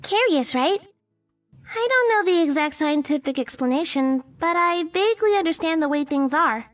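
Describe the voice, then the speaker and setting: A woman's voice: high-pitched, lively intonation, normal speed, fairly bright tone, recorded from a distance, faint music behind. A woman voicing an earnest, matter-of-fact young witch in a visual novel game. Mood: thoughtful.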